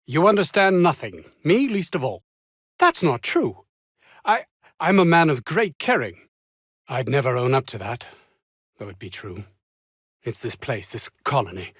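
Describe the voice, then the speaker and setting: An actor playing a pompous, ambitious, anxious research scientist in a video game, speaking with haughty, fussy, old-fashioned formal diction. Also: male voice, mid-range voice, big pitch swings, a little slow, pauses often, a bit bright, breathy edge, no echo, studio quality, exaggerated voice. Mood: agitated, bitter. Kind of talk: theatrical game dialogue.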